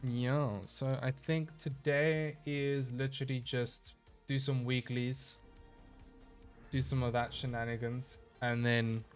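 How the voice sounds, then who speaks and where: A man's voice: medium pitch, flat delivery, takes it slowly, soft background music. Laid-back rambling male streamer, slightly stuffy. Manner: laid-back, rambling, reads chat aloud and answers. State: a little stuffy, slightly nasal. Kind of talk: casual live-stream chat while gaming.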